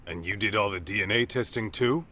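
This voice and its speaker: Low, blunt, street-smart detective. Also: male voice, brisk, bright, forceful tone, slight background noise. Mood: wry, probing. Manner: casual, blunt, probing. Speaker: voice actor in a video game cutscene. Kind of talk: dramatic game dialogue. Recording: music behind the voice.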